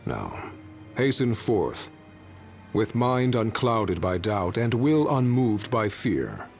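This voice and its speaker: An actor playing a solemn, imperious ancient warrior in a video game, speaking in formal, archaic and stately diction. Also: male voice, fairly low pitch, very animated, takes it slowly, vocal fry, a little mellow, soft background music, heavily acted. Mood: solemn, commanding. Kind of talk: dramatic video game acting.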